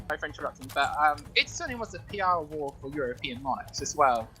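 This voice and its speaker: A man's voice: a little high, very animated, fast talker, pushed and bright, soft background music. A British man chatting casually about history as a co-commentator during a video game. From United Kingdom.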